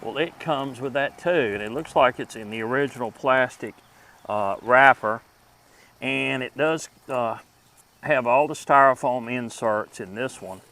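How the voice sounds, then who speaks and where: A man hosting a casual, unscripted review of collectibles, speaking haltingly as he thinks aloud with pauses. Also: mid-range voice, a bit bright. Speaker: host reviewing vintage radio collectibles. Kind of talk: casual unscripted product show-and-tell.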